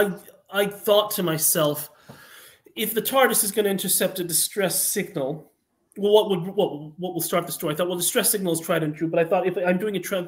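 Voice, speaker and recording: A man answering as a guest writer on an online call, earnestly telling how things came about, halting and correcting himself. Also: high voice. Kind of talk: interview answers, storytelling.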